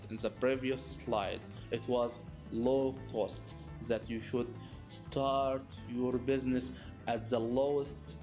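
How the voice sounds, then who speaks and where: A man whose first language is Arabic explains business tips to camera from a small office, earnest and repetitive, with small grammar slips. His voice sits at an ordinary middle pitch. Guitar music plays clearly underneath. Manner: earnest, repeats phrases, non-native grammar slips. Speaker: business channel host. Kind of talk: presenting a masterclass to camera. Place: small office with lamps and chairs. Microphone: seated facing camera, medium shot.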